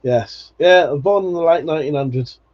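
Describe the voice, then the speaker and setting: A man in his forties talks loosely on a live stream, reading viewer comments and drifting into nostalgic memories. He swears freely and laughs often, and his voice sits a little high for a man. The sound is dull and lo-fi. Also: fairly quick. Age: 40s. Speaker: livestream host.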